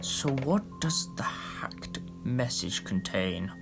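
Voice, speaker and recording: An actor playing a stately, defiant Lord President in a sci-fi audio drama, speaking in a grave, formal, measured way. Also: male voice, mid-range voice, big pitch swings, vocal fry, music in the background, full character voice. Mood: grave concern.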